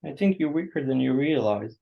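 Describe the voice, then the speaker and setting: Actor as solicitous awkward man, creaky voice, hesitant coaxing delivery, stage play, dull muffled sound. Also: medium pitch, expressive, a little fast. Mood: concerned, coaxing. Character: gentle, awkward, solicitous man caring for a guest.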